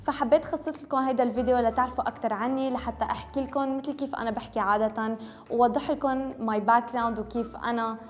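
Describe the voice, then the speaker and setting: A woman's voice: a little high, fairly even intonation, fast talker, faint music behind. A young woman from Lebanon whose first language was French, speaking in a Tripoli Lebanese accent with Syrian touches. She chats casually to camera and drops English words into her talk. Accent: Tripoli Lebanese Arabic with Syrian Homs touches. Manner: mixes English words into Arabic, chatty and self-correcting. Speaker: beauty vlogger.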